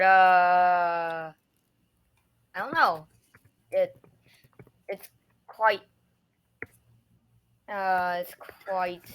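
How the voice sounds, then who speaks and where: A speaker from Taiwan practicing English on a video call, hesitant and full of fillers. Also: male voice, high voice, a bit bright, smooth, low-quality recording. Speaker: English learner. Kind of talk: casual conversation.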